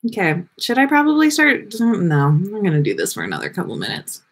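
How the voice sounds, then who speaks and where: A woman hosting a livestream in a playful, expressive manner. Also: lowish voice, brisk, gravelly, breathy edge. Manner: playful, sweary, teasing, expressive. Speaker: podcast host reading fan fiction on a livestream. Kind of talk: casual livestream banter.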